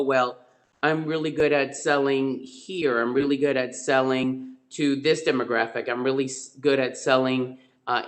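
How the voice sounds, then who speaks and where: A middle-aged man talks through his real estate stories at an ordinary, mid pitch, leaning on ums and uhs and often restarting his sentences. He speaks as a guest on a video-call webinar. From Spain. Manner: rambling, many fillers and restarts.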